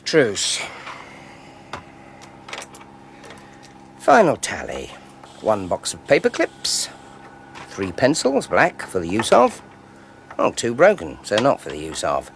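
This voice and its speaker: A bitter, sarcastic British scientist of forty in a radio drama. His lowish voice swings expressively through clipped, brooding lines, with some background noise and ambience. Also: wildly swooping pitch, unhurried, creaky, heavily acted. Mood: wry. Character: bitter, sardonic forty-year-old male research scientist. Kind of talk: 1980s BBC radio drama acting.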